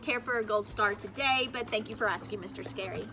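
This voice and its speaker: A woman's voice: medium pitch, fairly quick, background noise, close to an everyday voice. A woman voicing a stubborn, blunt first-grade girl in a children's storybook, with childlike emphasis. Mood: nervously refusing. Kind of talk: acted children's storybook read-aloud.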